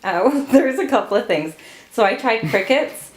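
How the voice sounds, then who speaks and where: A woman's voice: fairly high pitch, normal speed, reverberant. A woman in her late thirties, born in Portugal, answering family interview questions with frequent laughter. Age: late 30s. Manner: laughs often, relaxed storytelling.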